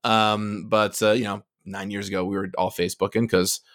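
Low-voiced guy in his 30s, chatty and jokey, dry close mic. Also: level tone, normal speed, a bit bright, no room sound. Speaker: podcast guest. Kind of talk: casual chat. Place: Seattle.

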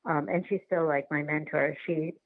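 Over a tinny, dry phone-like line, a middle-aged woman from New York City speaks in a lowish voice. She answers interview questions casually and haltingly, thinking aloud as she goes. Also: fairly even intonation, no room sound. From New York City, United States. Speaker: interview guest, documentary filmmaker.

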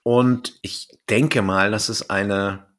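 Low-voiced man, casual podcast host. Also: fairly even intonation, slow, a bit bright. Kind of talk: casual podcast talk, presenting a cast list.

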